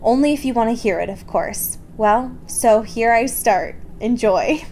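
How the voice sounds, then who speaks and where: A youthful, wistful female vampire read by a woman tells her life story as fan fiction. The voice is fairly high and reflective, with clear noise behind it. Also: animated, unhurried, darkish tone. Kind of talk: reading fan fiction aloud.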